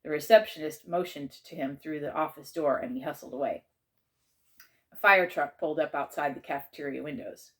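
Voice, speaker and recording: A woman with a slightly low voice reads a children's chapter book aloud. She narrates in the first person, in the style of a teacher reading to a class. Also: brisk, a bit bright.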